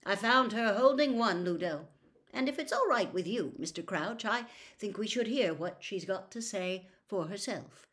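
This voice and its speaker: A woman voicing a stern, accusing male wizard official in an audiobook, with a gruff, officious manner. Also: medium pitch, expressive, normal speed, a bit bright, natural voice. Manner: barking, officious.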